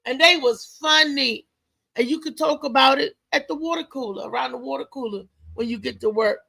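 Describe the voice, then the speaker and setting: A woman hosting a casual live show as a comedian, talking in a loud, lively, chatty way with lots of exclamations. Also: sits high, a little fast, creaky, slightly rough. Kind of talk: casual live-stream chat and promotion.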